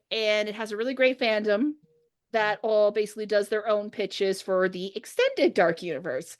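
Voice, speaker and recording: Female podcast host and script reader, informal, conversational delivery among friends. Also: a little high. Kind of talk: casual chat with friends before a script read-through.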